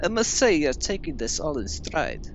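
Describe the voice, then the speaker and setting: A man's voice: on the high side, huge pitch swings, moderate pace, gravelly, muffled recording, slight background noise, exaggerated voice. A man playing a haughty, sly vampire villain in a theatrical style for a comedic audio drama. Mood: smug, probing.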